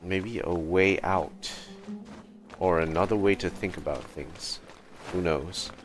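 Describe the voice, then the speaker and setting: A man giving casual livestream commentary as he plays a game, musing aloud in a halting, hesitant way. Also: sits low, steady tone. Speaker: livestream host playing a game. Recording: game music behind the voice. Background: video game music.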